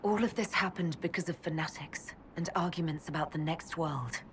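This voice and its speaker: An actress playing a young, skeptical elf mage hero in a fantasy video game, with a dry, pragmatic manner. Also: female voice, deep voice, moderate pace, a little hiss or hum, natural voice. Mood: doubtful, firm. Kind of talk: dramatic video game dialogue.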